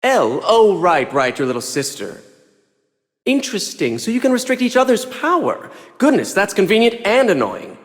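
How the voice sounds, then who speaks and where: A man's voice: sits high, huge pitch swings, normal speed, heavily acted. A man voicing a sly, smug scheming male villain in a video game, talking down in a mocking, theatrical way. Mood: amused mockery. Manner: mocking, theatrical, talks down to listener. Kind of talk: English video game voice acting. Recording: long reverb, faint music behind. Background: faint music.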